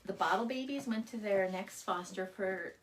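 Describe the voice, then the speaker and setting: A woman's voice: mid-range voice, moderate pace. Brief: plain-spoken woman guest, mid-range voice, short replies, faint background hiss. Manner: brief, matter-of-fact answers, says yep a lot. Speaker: guest and fellow dog rescuer. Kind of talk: casual chat.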